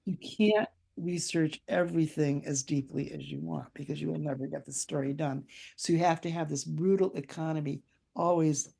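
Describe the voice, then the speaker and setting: An older woman, an author being interviewed, speaking in a thoughtful, anecdotal way with measured phrasing. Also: deep voice, fairly even intonation, creaky, warm tone, breathy edge. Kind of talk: reflective conversational interview.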